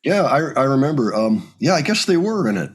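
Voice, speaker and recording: A man hosting a music reaction show, chatting casually about rock in a husky voice. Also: medium pitch, big pitch swings, a little fast, slight background noise.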